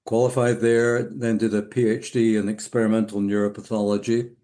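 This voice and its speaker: An older man from the west coast of Scotland with a Scottish accent, telling his story as a podcast guest in an unhurried, reflective way. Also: fairly low pitch, flat delivery, warm tone. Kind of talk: casual interview talk.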